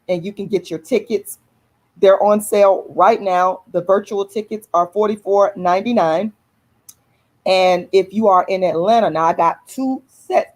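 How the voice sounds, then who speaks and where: A woman's voice: medium pitch, darkish tone. A woman, casually announcing an event to viewers, repeating herself and addressing them as y'all. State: hoarse. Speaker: activist host of her own channel.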